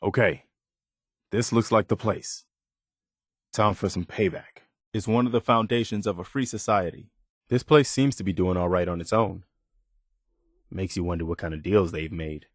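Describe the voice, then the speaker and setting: An actor playing an earnest, dutiful, plainspoken militia lieutenant in a post-apocalyptic video game. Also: male voice, low-pitched, moderate pace, pauses often, no room sound, clean studio sound. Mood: serious. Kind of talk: video game character dialogue.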